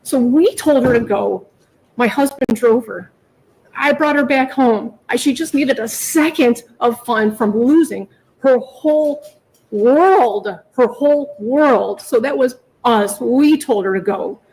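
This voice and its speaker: A woman's voice: fairly high pitch, slow pace, darkish tone, husky, low-quality recording. A middle-aged woman making an emotional, unscripted plea to a judge, emphatic and often repeating herself. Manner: pleading, repetitive, emphatic. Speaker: mother pleading in court for her daughter.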